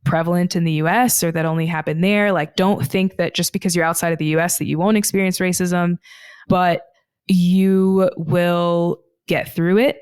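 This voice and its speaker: Earnest woman, smooth voice. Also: fairly low pitch, fairly even intonation, darkish tone, no echo, studio quality. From United States (Texas). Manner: earnest, thoughtful, slows down on heavy points. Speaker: podcast guest. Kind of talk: reflective personal storytelling.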